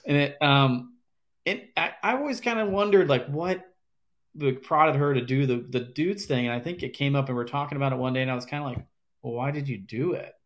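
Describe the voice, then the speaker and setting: A man, a former caddie and podcast guest, talking in a rambling, halting way with many restarts. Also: mid-range voice, a bit bright. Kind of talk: casual interview chat.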